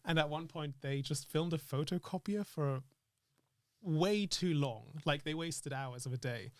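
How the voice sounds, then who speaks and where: A man's voice: on the high side, unhurried, no echo, studio quality. A man speaking as an interview guest in casual, reflective chat, earnestly thinking aloud in broken phrases. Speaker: interview guest, actor and screenwriter.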